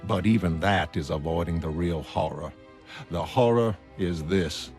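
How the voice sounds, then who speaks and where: Man playing a low, somber, weary psychiatrist. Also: darkish tone, soft background music. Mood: despairing, intense. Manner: measured, somber, brooding. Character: weary, troubled male psychiatrist reading his private notes. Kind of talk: scripted diary narration in an animated motion comic.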